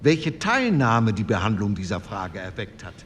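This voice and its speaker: A persuasive postwar delegate. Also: male voice, on the low side, very animated, moderate pace. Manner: formal, argumentative parliamentary oratory. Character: male postwar CDU delegate, earnest and persuasive. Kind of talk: radio drama speech reenactment. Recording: reverberant hall with faint room noise.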